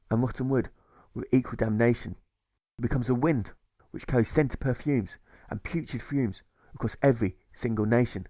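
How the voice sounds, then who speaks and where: A man reading a rhyming novel as scripted audiobook narration, in a measured, rhythmic delivery. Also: mid-range voice, warm tone, no room sound. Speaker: author reading own audiobook.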